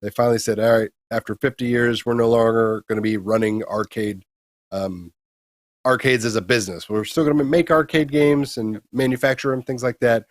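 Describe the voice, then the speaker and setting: A man's voice: mid-range voice, steady tone, fairly quick, dry recording. A man with an American accent talks through gaming news as a show co-host. His voice sits in a middle register and the delivery is casual discussion. The sound is dry, with little trace of a room. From United States.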